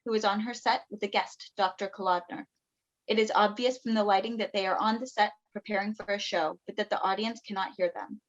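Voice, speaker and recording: A woman reading stage directions as scripted narration for a play over a video call. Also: medium pitch. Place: indoors on a Zoom call. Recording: dull Zoom call audio.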